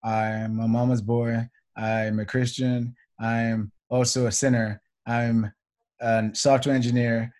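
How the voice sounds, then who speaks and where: A man's voice: medium pitch, flat delivery, slow pace, darkish tone. A man in his twenties introducing himself in a playfully formal, list-like way. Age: 20s. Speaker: software engineer.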